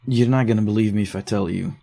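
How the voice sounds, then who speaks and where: A man's voice: fairly low pitch, quick pace, vocal fry, dark tone. An actor playing a shaken young man telling of strange events in an audio drama, defensive and uneasy. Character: shaken young man investigating case files. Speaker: narrator and lead character.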